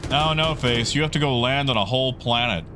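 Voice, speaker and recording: A man's voice: medium pitch, brisk, background noise. A man hosting a livestream, chatting casually and in a rambling, conversational way with his viewers. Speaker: livestream host.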